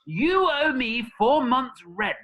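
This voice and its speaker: A woman as a sharp, sneering pantomime squire. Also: fairly high pitch, sing-song, takes it slowly, exaggerated voice. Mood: stern, threatening. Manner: pompous, scornful, declamatory. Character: mean, pompous, sneering landlord squire, male. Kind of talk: radio pantomime villain acting.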